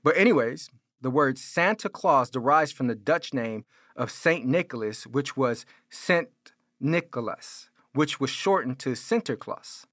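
Male American pastor, middling pitch, informal and argumentative teaching sermon, very little room sound. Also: lively intonation, unhurried, fairly bright tone, dry recording, clean studio sound. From California. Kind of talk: sermon, explanatory apologetics teaching.

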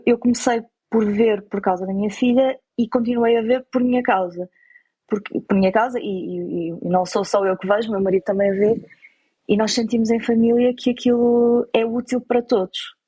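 Husky Portuguese woman explaining conversationally. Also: fairly high pitch, fairly even intonation, moderate pace, warm tone, highs cut off. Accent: European Portuguese. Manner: explanatory, repeats and rephrases. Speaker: interviewed parent. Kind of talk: conversational interview answers.

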